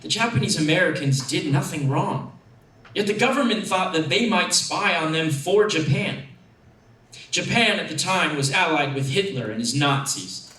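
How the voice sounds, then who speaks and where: A man reads a first-person story dramatically, with expressive, deliberate phrasing. His voice is on the higher side. The room is echoey and he sounds set back from the mic. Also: distant mic. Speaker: actor reading a story.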